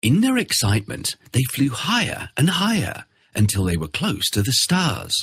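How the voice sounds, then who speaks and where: A man narrating a children's fairy tale in an expressive, theatrical storytelling style. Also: medium pitch, moderate pace, slightly rough, dry recording, clean studio sound.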